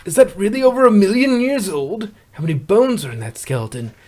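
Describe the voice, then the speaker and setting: A man's voice: sits high, animated, fairly quick, mellow. A man voicing a good-natured, pun-loving pony who narrates a fan comic blog in a chatty way. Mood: cheerful. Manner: chatty, eager questioner.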